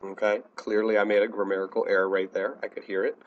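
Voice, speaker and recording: An American man teaching a software tutorial in a plain, step-by-step instructional manner. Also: lowish voice, flat delivery, fast, highs cut off. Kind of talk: instructional screencast narration.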